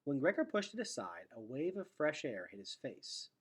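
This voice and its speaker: A man reads a children's novel aloud like a teacher to a class. His voice is husky and middling in pitch, with big melodic swings. He shapes phrases dramatically, often letting them sink into a hush. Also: very animated, normal speed. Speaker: teacher reading aloud to students. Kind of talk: expressive storybook read-aloud.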